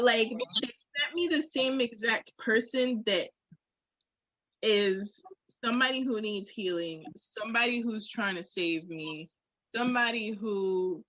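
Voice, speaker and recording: A woman's voice: mid-range voice, a bit bright, no echo, rough recording. A woman chatting candidly and casually on a podcast, emphatic and slangy with punchy phrasing.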